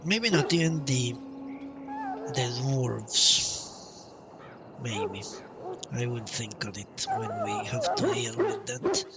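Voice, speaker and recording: A man doing casual live game commentary, thinking aloud in a halting way as he streams. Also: medium pitch, huge pitch swings, very noisy. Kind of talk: casual gameplay commentary and chat. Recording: distant mic with game music behind. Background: game music.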